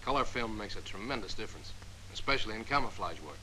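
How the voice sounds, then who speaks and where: An actor playing a stern, principled Army major in a 1940s training film, speaking with lecturing authority. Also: male voice, on the low side, lively intonation, fast, sharp tone, poor audio. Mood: authoritative. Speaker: Major Dean. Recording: 1940s film soundtrack with faint hiss.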